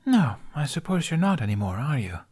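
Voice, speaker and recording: Man voicing a gentle, lilting mystical Viking maiden. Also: medium pitch, sing-song, a little fast, breathy edge, voice pushed up. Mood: knowing, teasing. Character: gentle young Viking maiden, serene mystical warden, mildly amused.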